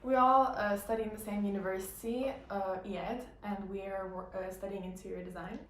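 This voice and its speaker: A woman's voice: medium pitch, steady tone, brisk, a little hiss or hum. Young female design student from Turkey, level delivery, hesitant, distant roomy mic. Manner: thoughtful, with many fillers and restarts. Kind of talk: casual group interview. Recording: echoey room, distant mic.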